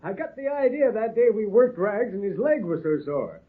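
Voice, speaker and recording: Good-natured circus acrobat, very high male voice, easygoing, gently firm, vintage radio serial, thin tinny sound. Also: sing-song, moderate pace. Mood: good-humored. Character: kindly, chuckling circus acrobat man with performing dog. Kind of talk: 1930s radio serial acting. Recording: tinny, band-limited old radio recording.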